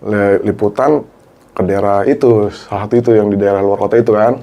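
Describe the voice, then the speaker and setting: A man's voice: lowish voice, fairly quick. A middle-aged man, a former TV cameraman, telling a story in casual slang and acting out quoted dialogue. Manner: animated, acts out quoted dialogue, Jakarta slang.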